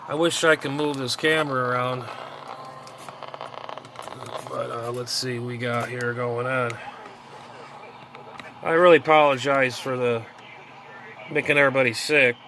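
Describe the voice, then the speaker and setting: A man's voice: medium pitch, measured pace, long pauses. A middle-aged man giving casual, rambling vlog commentary as a radio hobbyist, muttering, repeating himself and trailing off. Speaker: amateur radio hobbyist showing his gear. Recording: noisy recording with steady background noise.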